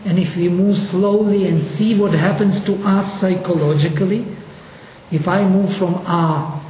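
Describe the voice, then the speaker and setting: Brief: Russian-accented linguistics lecturer, mellow tone, slow and deliberate delivery, echoey hall with faint room hum. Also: mid-range voice, fairly even intonation. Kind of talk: course lecture. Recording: echoey hall, mic at a distance.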